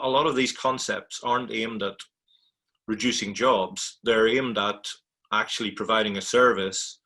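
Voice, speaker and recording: A man speaking as an industry panelist, explaining points in a measured, conversational way. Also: on the low side, bright, forceful tone, breathy edge. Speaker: technology provider, panelist.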